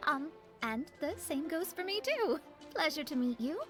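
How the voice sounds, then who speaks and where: A woman's voice: high-pitched, expressive, normal speed. A woman playing a proud, prim, easily flustered young astrologist in a video game, with music underneath. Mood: polite, charmed.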